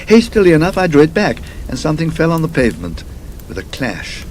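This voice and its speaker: Haunted gentleman traveller, performed by a male narrator, British RP, halting confessional delivery, very animated intonation, faint noise behind. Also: on the high side, voice pushed up. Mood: shaken, fearful. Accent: British Received Pronunciation. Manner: halting, emphatic, fearful confession. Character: haunted English gentleman traveller recounting his terror.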